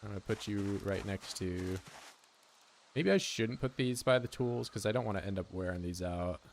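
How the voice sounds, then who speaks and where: A man's voice: on the low side, lively intonation, normal speed, creaky, a little hiss or hum. A young man streaming a survival game, rambling and joking with his chat in a casual way. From United States. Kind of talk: casual gameplay commentary.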